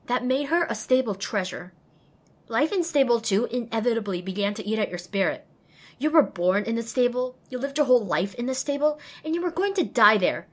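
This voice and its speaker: A young woman voicing an earnest, self-deprecating young female pony apprentice, narrating an audio drama expressively and wryly. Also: on the high side. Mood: wistful, wry. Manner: expressive, wry. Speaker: lead and first-person narrator.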